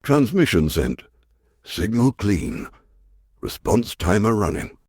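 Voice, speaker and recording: A man playing an earnest, resolute science professor in a sci-fi audio drama, with a husky voice and deliberate delivery. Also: fairly low pitch, sing-song, darkish tone, dry recording, full character voice. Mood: intent, determined.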